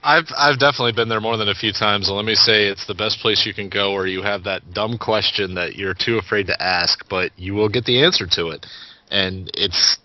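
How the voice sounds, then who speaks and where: Low-pitched male co-host, rambling, dry and dull lo-fi sound. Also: brisk, dry recording. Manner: rambling, many fillers and restarts. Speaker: podcast co-host. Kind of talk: casual chat.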